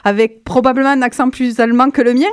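A woman on a radio book show, reading a passage in a measured, steady way and chatting casually about books at a studio microphone. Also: high-pitched, smooth, no echo. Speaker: guest book columnist.

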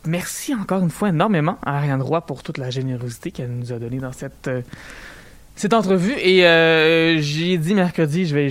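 A young man with a Quebec French accent hosting a radio show, chatting casually with jokes and quick asides. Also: fairly high pitch, dry recording. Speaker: radio host. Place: radio studio. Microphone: studio microphone.

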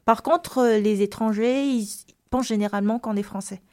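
A woman's voice: on the high side, steady tone, moderate pace, a little mellow. A woman from Madagascar with a light Malagasy accent in her French, answering interview questions casually and hesitantly, with many fillers and repeated words. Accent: slight Malagasy French. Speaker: guest.

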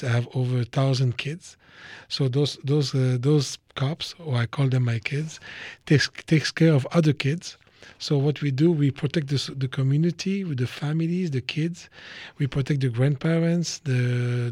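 A man's voice: mid-range voice, a little mellow, dry recording. Middle-aged man from Ivory Coast, dry sound. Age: 50s. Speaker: chief of police, interview guest. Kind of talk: plain-spoken explanation in an interview.

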